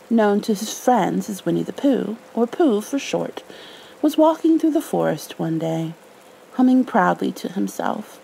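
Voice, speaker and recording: A woman softly reading a storybook and talking tenderly in a soothing, motherly ASMR roleplay. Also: medium pitch, creaky, rough recording. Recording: faint background noise, rain ambience.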